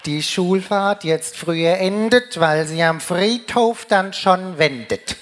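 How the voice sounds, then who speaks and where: A woman's voice: lowish voice, takes it slowly, background noise. A woman with a Rhine-Hessian German accent delivering a humorous carnival speech in dialect, declaimed in sing-song rhyming couplets. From Germany, Rheingau region. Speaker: carnival speaker.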